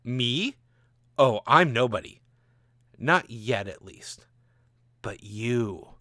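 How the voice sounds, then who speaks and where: A man's voice: mid-range voice, lively intonation, drawn-out words, sharp tone, dry recording, clean studio sound, heavily acted. Man acting out a ranting, bitter time-travelling gunman. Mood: manic, amused. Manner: mocking, ranting.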